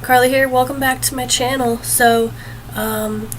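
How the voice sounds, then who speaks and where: A woman's voice: sits high, steady tone, a little slow. A young adult woman vlogging casual advice, earnest and rambling with many fillers and restarts, her voice slightly off from being a little sick. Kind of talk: casual advice talk to camera. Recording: noticeable background noise.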